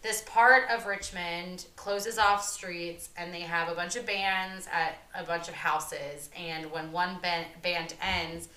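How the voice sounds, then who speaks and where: A woman's voice: lowish voice, steady tone, slow, hardly any pauses, sharp tone. A young woman, co-hosting a podcast in casual chat and saying "like" often. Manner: chatty, uses "like" often. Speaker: podcast co-host. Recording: echoey room.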